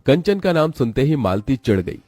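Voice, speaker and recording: A man's voice: mid-range voice, sing-song, moderate pace, warm tone, no echo. A man narrating a scripted, dramatic story as a storyteller.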